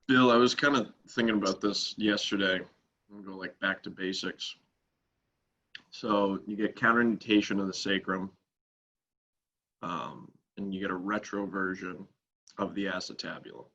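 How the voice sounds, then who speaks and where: A man's voice: deep voice, moderate pace, pauses often, fairly bright tone. A man asking technical questions on a group call, thinking aloud in a tentative, informal way over dull audio. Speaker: participant putting questions to the host.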